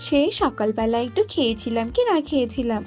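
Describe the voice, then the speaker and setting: A woman's voice: on the high side, expressive, brisk, darkish tone, muffled recording, soft background music, voice pushed down, lightly acted. A woman voicing a mischievous young daughter bird in a cartoon, wheedling and playful. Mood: playful, demanding.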